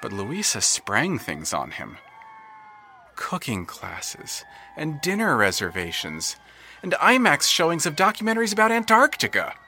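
A man narrating an audio drama in scripted, expressive storytelling, swelling with tension and dropping to hushed tones. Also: on the high side, measured pace, slight background noise.

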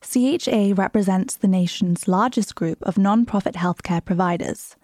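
Mellow woman reading a sponsor message. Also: mid-range voice, moderate pace, no echo, studio quality. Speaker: sponsor announcer.

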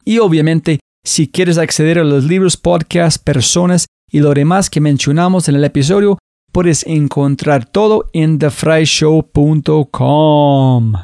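A male podcast host in casual interview style, animated and curious, asking questions in quick bursts. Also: on the high side.

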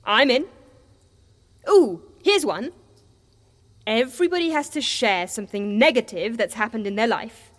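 A breezy, unflappable woman peacemaker in an audio comedy, chatting cheerily. Her voice is on the high side and rings in a reverberant hall. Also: animated, a little slow, long pauses. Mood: upbeat. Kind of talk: audio comedy drama acting.

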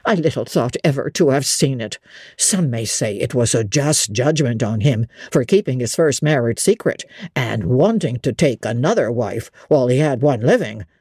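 A woman's voice: very deep voice, animated, moderate pace, a little mellow, breathy edge, no echo, clean studio sound, voice pushed down, exaggerated voice. A woman voicing an old male country servant, who tells a grim tale in old-fashioned dialect. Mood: grave. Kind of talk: dramatic audiobook reading.

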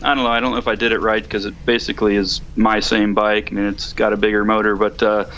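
A man's voice: on the low side, level tone, fast talker, fairly bright tone, dull audio, slight background noise. A man chatting casually and a bit hesitantly as a guest correspondent on a call-in, rambling in a folksy way. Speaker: guest correspondent calling in to a motorcycle podcast. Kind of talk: casual interview chat.

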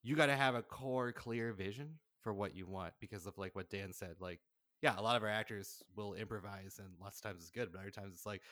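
Casual low-voiced man chatting as a guest. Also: brisk, dry recording. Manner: animated, chuckles through anecdotes, frequent "like". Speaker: podcast guest, audio drama writer-director. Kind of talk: casual chat, storytelling.

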